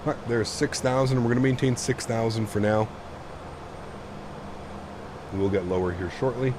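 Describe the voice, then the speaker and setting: A man with a deep voice, hoarse from being sick, casually chatting with viewers on a live stream while flying. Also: moderate pace, noisy background. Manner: relaxed, thinks aloud, reads and answers chat. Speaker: flight sim streamer.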